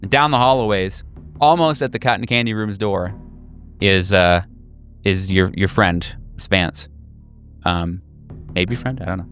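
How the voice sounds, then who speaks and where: A man narrating a horror tabletop game as game master, improvising haltingly and searching for words. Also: sits low, fairly bright tone. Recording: music behind. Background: clear background music.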